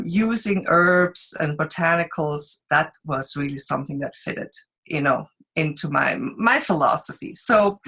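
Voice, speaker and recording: Woman, middle-aged, Swiss accent, fairly low voice, casual storytelling, halting restarts. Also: a bit bright. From Switzerland. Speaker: guest speaker, sales consultant. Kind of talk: informal presentation on a group call.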